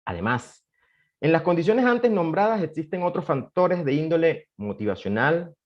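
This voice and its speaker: A man's voice: mid-range voice, dull audio. A man acting as moderator of a panel, reading a formal prepared introduction. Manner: formal, measured delivery.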